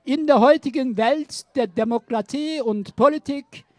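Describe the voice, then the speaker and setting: A woman slowly reciting a poetic art manifesto in a declamatory, repetitive way. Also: sits high, dark tone, dry recording.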